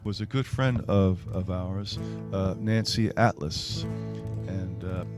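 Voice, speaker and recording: An American man, a singer-songwriter chatting casually between songs at a live show, halting and rambling in a self-deprecating way. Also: low voice, big-room sound, music in the background. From United States.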